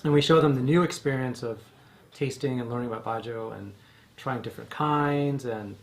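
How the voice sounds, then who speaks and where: A man's voice: medium pitch, normal speed. A man with an American accent speaking casually as a bar co-founder, explaining things in an interview. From United States.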